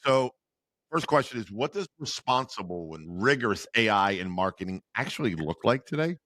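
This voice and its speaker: A man's voice: on the low side, moderate pace. A man hosting an interview podcast, introducing guests and joking at himself about how he says their names. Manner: lively, self-deprecating about name pronunciations. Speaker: podcast host.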